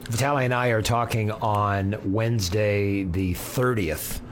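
A man hosting a radio show, asking his guest questions in a polished, measured way. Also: on the low side, fairly even intonation, slight background noise. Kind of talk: radio interview.

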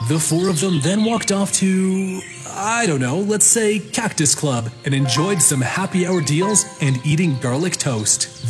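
Male narrator, highish voice, mock-dramatic storytelling for radio, prominent music underneath.

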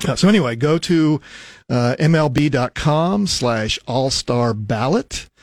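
Older male, American, radio talk host; casual, halting monologue, close and dry studio sound. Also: mid-range voice, animated, no room sound. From Nebraska, United States. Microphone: close studio microphone.

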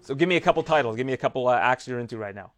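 A man's voice: medium pitch, lively intonation, racing, a bit bright. A man interviewing fighters, upbeat and inquisitive, often restarting his questions. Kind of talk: sports interview.

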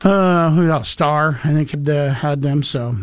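A man's voice: on the high side, measured pace, no room sound, clean studio sound. A man co-hosting a casual morning radio show, chatty and storytelling, laughing often. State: recovering from lost voice.

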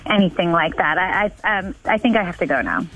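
A woman's voice: on the low side, lively intonation, a little fast, fairly bright tone, breathy edge, soft background music, rough recording. A woman working in a shop, speaking on the phone in a polite, hesitant, stammering way. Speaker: gift shop worker taking a customer's call. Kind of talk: customer service phone conversation.

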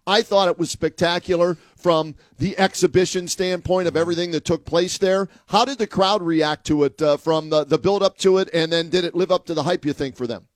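A man's voice: on the high side, brisk, hardly any pauses, no room sound. A man co-hosting a sports radio show, talking casually and asking a guest questions.

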